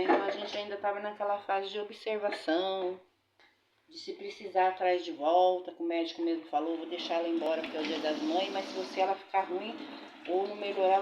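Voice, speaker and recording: A middle-aged Brazilian woman with a flu, chatting casually to her viewers from her home kitchen while washing dishes. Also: medium pitch. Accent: Brazilian Portuguese. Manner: chatty, addresses viewers as "gente", religious blessings. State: recovering from a bad flu. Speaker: vlogger. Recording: roomy, dull, noisy kitchen recording.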